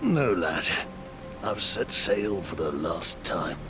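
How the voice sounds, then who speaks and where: Deep, weary old sea captain. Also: male voice, sing-song, heavily acted. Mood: weary, regretful. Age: older. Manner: salty seafarer speech, halting and breathy. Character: weary, dying old male corsair captain. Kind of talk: dramatic video game cutscene acting. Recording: loud music and rain behind.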